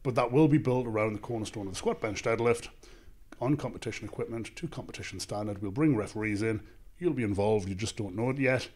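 Northern english bloke, gym owner on a podcast, lowish voice, steady and sure of himself, handheld mic in a small office. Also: male voice. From England. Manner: measured, confident, explains in lists. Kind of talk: casual interview answers. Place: small office studio indoors. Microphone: handheld microphone.

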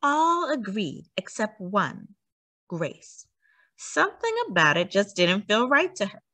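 Female children's storyteller, animated and emphatic read-aloud, very varied intonation, dry sound. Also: medium pitch, slow, dry recording.

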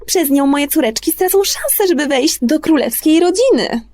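Shrill, spiteful fairy-tale stepmother. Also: animated, moderate pace, a little mellow, no room sound. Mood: suspicious, resentful. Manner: hissing, nasty, indignant.

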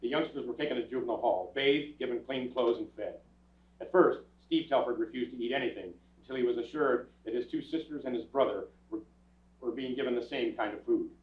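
An older man playing a calm, matter-of-fact police detective in a stage radio drama, with clipped delivery. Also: mid-range voice, a little fast, close to an everyday voice. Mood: matter-of-fact narration. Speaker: actor and narrator in a stage radio play. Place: small theatre stage, recorded from audience camera. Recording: muffled, echoey stage recording from far off.

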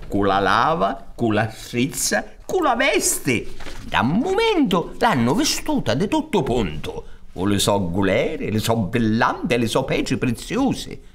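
A man's voice: high voice, fairly bright tone. An older man with a Sicilian accent narrates a folk tale in a dramatic, declamatory theatrical style. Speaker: storyteller. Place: theatre stage set up for radio recording. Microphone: close studio microphone on stand. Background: accordion music.

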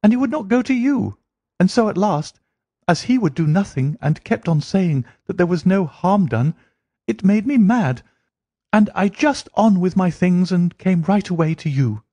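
A man's voice: lively intonation, mellow, no echo. A man voicing an earnest, flustered young woman pouring out her troubles in a storybook reading. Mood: indignant. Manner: breathless, rushing, rising high pitch.

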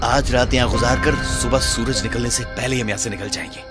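A man's voice: mid-range voice, steady tone, fairly quick, lightly acted. A man voicing a nervous, chatty young man in a zombie cartoon. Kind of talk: Hindi cartoon dubbing. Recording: voice over loud music.

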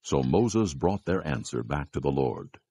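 A man narrating scripture from a script, with a gravelly voice and a measured, grave delivery. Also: very deep voice, sing-song, warm tone.

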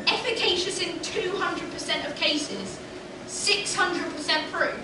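A woman's voice: very high-pitched, very animated, unhurried, exaggerated voice. A woman playing an exasperated, cheeky young son, projecting in a broad pantomime stage style. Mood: dry, cheeky. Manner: exclamatory, projected. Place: on stage in a small theatre. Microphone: far camera from the audience. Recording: noisy, echoey theatre hall from far off.

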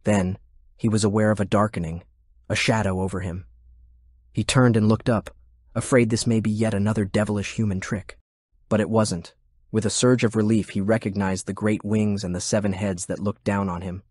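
A man's voice: fairly low pitch, little pitch movement, moderate pace, a little mellow, dry recording, studio quality. A man reading scripted, dramatic narration as an audiobook narrator.

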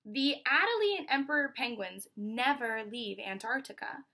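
A young American woman with a fairly high, bright voice reads a picture book to children. She is instructive, breaking off from the text to explain things and pose questions to her listeners. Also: expressive, normal speed. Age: 20s. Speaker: teacher reading aloud. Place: small furnished room at home, indoors.

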